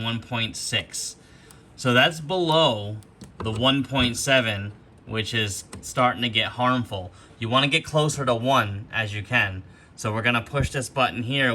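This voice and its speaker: A man's voice: medium pitch, bright, forceful tone. A man hosting an unscripted product walkthrough, explaining things step by step in an instructional way. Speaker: host of a gear demonstration video.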